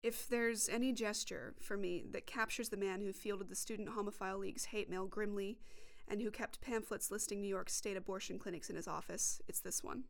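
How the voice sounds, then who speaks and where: A woman's voice: medium pitch, fairly even intonation, brisk, creaky. A young woman reporting and narrating a scripted documentary podcast.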